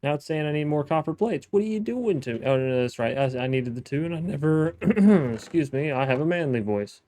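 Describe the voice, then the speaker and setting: A man's voice: fairly high pitch, animated, a little fast, warm tone, a little hiss or hum. A man hosting a gameplay video, talking casually to himself as he plays, thinking aloud with restarts. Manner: chatty, thinking aloud, frequent restarts and self-talk. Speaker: gaming let's-play host.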